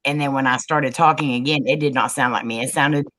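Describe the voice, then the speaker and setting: A woman with a low voice, a paranormal investigator, telling a story on a group video call with many ums and restarts. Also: steady tone, a little fast, fairly bright tone. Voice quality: low, manly-sounding voice. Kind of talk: casual group video chat.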